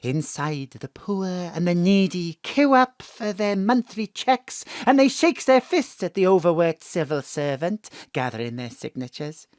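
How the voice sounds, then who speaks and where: Theatrical old Welsh mam, swooping. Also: male voice, high voice, sing-song, unhurried, few pauses, a little mellow, no room sound, studio quality. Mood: storytelling. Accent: South Wales. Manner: theatrical, exclamatory, wheedling. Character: elderly Welsh mam, theatrical, cheeky and easily affronted. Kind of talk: comic audio drama acting.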